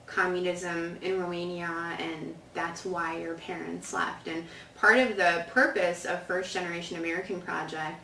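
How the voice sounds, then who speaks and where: A woman's voice: lowish voice, fairly even intonation, moderate pace, vocal fry, few pauses, pushed and bright, a little hiss or hum. A woman with a Chicago accent, Polish her first language, hosting an interview in a chatty, enthusiastic way. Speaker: interview host. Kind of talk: casual interview conversation. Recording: echoey room, mic at a distance.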